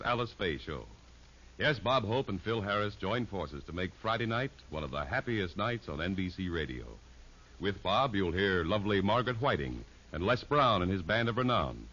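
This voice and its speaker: A man's voice: lowish voice, a bit bright. An American man reading a scripted promo as a 1950s radio network announcer. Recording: dull old radio recording.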